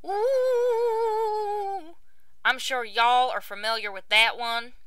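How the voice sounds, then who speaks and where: A woman acts a folksy farm pony in a cartoon, a mischievous teller of spooky tales. The voice is high, bright and dry-sounding. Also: steady tone, drawn-out words, dry recording, heavily acted. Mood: spooky teasing.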